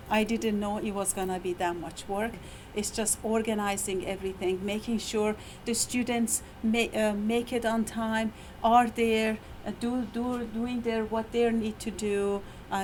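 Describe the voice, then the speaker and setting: A woman's voice: mid-range voice, rough recording. Middle-aged iranian woman lecturer, lots of ums and restarts, tired voice, bit of faint hiss behind. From Iran. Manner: hesitant, many fillers and restarts, laughs often. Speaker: university lecturer. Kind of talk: faculty talk. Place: university meeting room.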